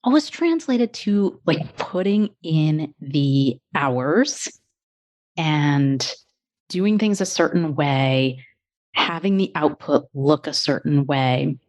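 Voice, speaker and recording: A woman guest on a coaching podcast, reflecting on her work in measured, thoughtful phrases broken into short chunks. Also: sits low, animated, darkish tone. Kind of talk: reflective interview conversation.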